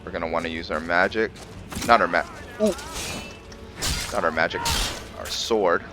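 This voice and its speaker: A man's voice: low-pitched, moderate pace, music in the background. A man giving casual commentary on a roleplay video game, thinking aloud to himself as he plays. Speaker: gamer hosting a roleplay playthrough.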